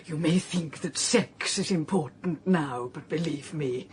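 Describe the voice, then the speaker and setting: Snobbish older upper-class English mother, slow delivery, blunt, withering, radio drama, faint noise. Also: sits low. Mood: condescending. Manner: blunt, condescending.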